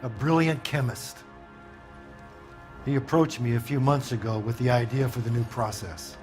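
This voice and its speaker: A man's voice: medium pitch, steady tone, moderate pace, a little mellow, natural voice. Man acting defensive older businessman over music. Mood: explanatory. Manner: hesitant, evasive, indignant. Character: defensive older male factory owner, businessman. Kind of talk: dramatic video game dialogue.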